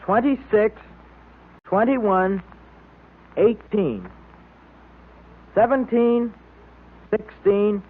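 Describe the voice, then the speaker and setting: An earnest, eager boy leads a radio club in a high, lively voice. He declaims crisply in 1930s style on a muffled old recording. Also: full character voice.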